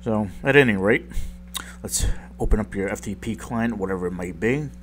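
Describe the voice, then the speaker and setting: A man with a very low, groggy voice, like he just woke up, talks casually through a software tutorial. He rambles and pokes fun at his own mistakes. A faint hum sits under the recording. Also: normal speed. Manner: rambling, self-deprecating, lots of ums. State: just woke up, deep morning voice. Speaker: tech tutorial youtuber.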